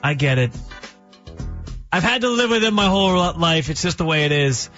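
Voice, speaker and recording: A man's voice: animated, a bit bright, lo-fi sound, faint music behind. A young man hosting a sports talk radio show, casual and joking, his voice prone to cracking. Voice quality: voice cracks, high youthful-sounding. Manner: casual, joking, quick opinions. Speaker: fill-in radio host.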